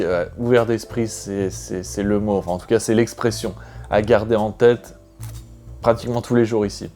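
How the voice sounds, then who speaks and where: A man from France talks casually to his viewers in a chatty, unscripted way, thinking out loud as he goes. His voice sits at an everyday mid pitch. Soft music plays quietly behind him. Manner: chatty, thinking aloud, loosely rambling. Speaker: expat vlogger. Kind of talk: casual explanatory vlog.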